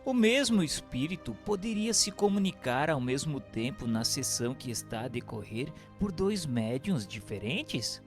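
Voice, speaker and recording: A man reading scripted notes and questions for a radio drama with formal, measured diction. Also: mid-range voice, very animated, music in the background. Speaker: reader posing the questions.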